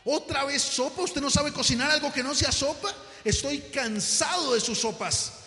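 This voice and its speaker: Man acting out loud, high-pitched, worn-out husband. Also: animated, a little fast, full character voice. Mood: exasperated, fed up. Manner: loud, exasperated, emphatic. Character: José, irritable exhausted husband and father, later desperately pleading. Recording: echoey hall, music behind.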